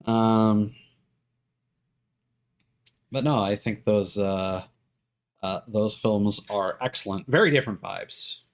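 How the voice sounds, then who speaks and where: Low-pitched man, rambling casual streamer. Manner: rambling, hesitant, self-correcting, thinking aloud. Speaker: live game streamer.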